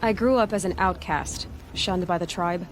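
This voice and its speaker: A woman's voice: lowish voice, normal speed, slight background noise, close to an everyday voice, rough recording. A woman playing a young, inquisitive outcast hunter in a video game, speaking in a direct, earnest way. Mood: curious, guarded. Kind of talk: game cutscene dialogue acting.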